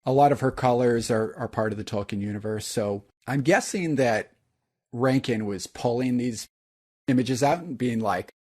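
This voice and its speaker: A man with a deep voice, co-hosting a podcast in a rambling, enthusiastic casual chat. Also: moderate pace, darkish tone. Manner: rambling, enthusiastic, many fillers. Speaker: podcast co-host.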